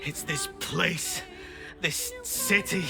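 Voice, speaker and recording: A man playing an anguished, corrupted nature druid in a video game, speaking in a grave, tormented, halting way. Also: on the high side, creaky, over music, full character voice. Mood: anguished pleading. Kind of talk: dramatic video game character acting.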